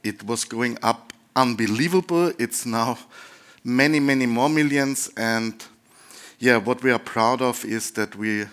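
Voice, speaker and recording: Man, Austrian accent, mid-pitched, informal and chatty, conference talk. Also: measured pace, fairly bright tone, smooth. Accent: Austrian German. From Lower Austria. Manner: chatty and informal, with light humor. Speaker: conference speaker, dispatch center representative. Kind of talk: conference presentation.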